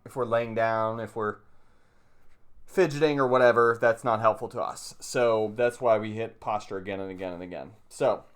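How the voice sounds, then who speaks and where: A man giving informal spoken instruction as a video host, plain-spoken and halting, repeating phrases as he explains and reads passages aloud. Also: lowish voice, steady tone, a bit bright, clean tone. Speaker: meditation teacher, video host. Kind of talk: informal teaching commentary with read-aloud passages.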